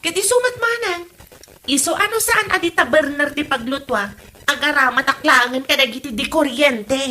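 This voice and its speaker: Casting: actress as excuse-making young Ilocano wife, sharp high voice, defensive, radio comedy. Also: female voice, moderate pace. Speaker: actor in a comedy drama.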